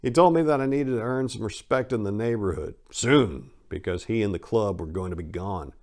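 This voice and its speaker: A man's voice: on the low side, normal speed, darkish tone. A man from the United States giving a dramatic first-person reading of a memoir in an irreverent, gritty storytelling manner. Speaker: audiobook narrator.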